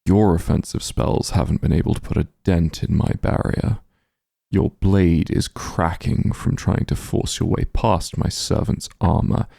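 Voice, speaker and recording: A man playing a powerful, calm and smug demon lord in a fantasy ASMR roleplay, unhurried and condescending, with a gravelly, husky low voice. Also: dry recording, studio quality, exaggerated voice. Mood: bored, condescending. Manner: unhurried, condescending, softly commanding.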